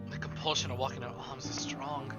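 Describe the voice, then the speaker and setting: A man streaming a visual novel, reading it aloud as theatrical, expressive first-person narration. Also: medium pitch, a little fast. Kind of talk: dramatic first-person story narration with asides. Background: game music.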